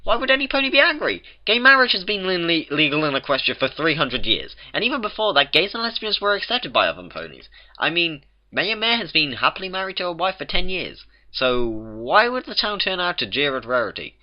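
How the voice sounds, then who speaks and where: A fussy, anxious unicorn mare, put on by a male reader in a lifted, strained voice. She fires off objections quickly and indignantly. The home recording sounds muffled and lo-fi. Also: a little high, very animated, voice pushed up. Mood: exasperated. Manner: exasperated, rapid objecting.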